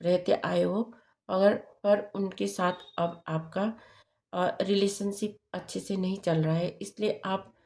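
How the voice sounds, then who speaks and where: A woman reading tarot cards to camera, hoarse from a sore throat, speaking haltingly with many fillers. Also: mid-range voice, fairly even intonation, a little mellow, soft background music. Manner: slow, halting, many fillers and tag questions. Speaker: tarot reader.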